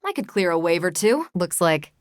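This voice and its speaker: A woman's voice: fairly low pitch, sing-song, moderate pace, dry recording, clean studio sound. A woman voicing a confident, wry young superhero soldier with an American accent, in casual, self-assured video game banter.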